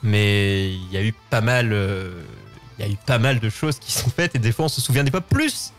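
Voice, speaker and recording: A man's voice: lowish voice, normal speed. Rambling young Frenchman hosting over music. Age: 20s. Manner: rambling, stammering and repeating words. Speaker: host of a live open-line show. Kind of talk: casual live show chat.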